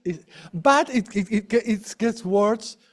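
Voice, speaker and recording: A man presents research at an academic conference. He speaks haltingly, restarting his phrases and tagging sentences with little questions to the room. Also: high voice. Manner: hesitant, many restarts and tag questions. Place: lecture room.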